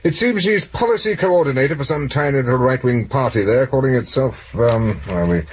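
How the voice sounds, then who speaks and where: A man's voice: medium pitch, animated, fairly quick, breathy edge. A man with an English accent acting in a 1970s radio drama as a sardonic, authoritative police superintendent. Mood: sardonic, brisk. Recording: dull, muffled old radio recording.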